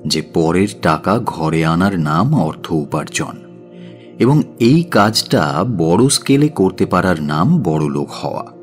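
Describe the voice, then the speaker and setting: A man narrating a scripted audio story as its presenter, with a measured, theatrical delivery. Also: sits low. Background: music.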